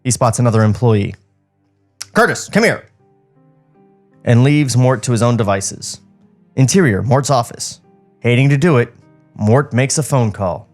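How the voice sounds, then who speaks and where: A man's voice: mid-range voice, normal speed, darkish tone. Male podcast co-host reading over music. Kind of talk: script narration with casual joking asides. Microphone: podcast studio microphone.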